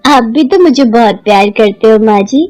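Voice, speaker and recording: A woman's voice: on the high side, moderate pace, a little mellow, soft background music, close to an everyday voice. A woman voicing a devoted young daughter-in-law who is emotional and earnest, with an emphatic delivery, in a story. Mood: apologetic, affectionate.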